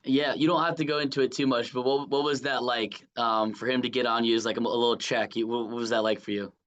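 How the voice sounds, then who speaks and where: Man with a mid-pitched voice, casually interviewing. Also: fairly even intonation, quick pace, fairly bright tone, muffled recording. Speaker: sports reporter interviewing. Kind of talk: casual, conversational interview questions.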